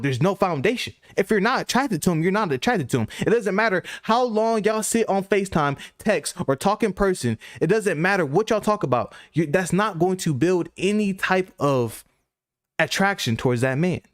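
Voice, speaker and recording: A man's voice: high-pitched, lively intonation, moderate pace, no room sound. Blunt, lecturing man on a dry close mic. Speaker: reaction commentator. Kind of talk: commentary over interview clips.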